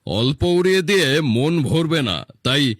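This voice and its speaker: A man's voice: on the high side, big pitch swings, a little slow, a little hiss or hum. A man playing a cruel, boastful tyrant leader in a cartoon, commanding and sneering. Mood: commanding, gloating. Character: arrogant, cruel tyrant leader gloating over his enemies. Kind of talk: dubbed cartoon villain dialogue.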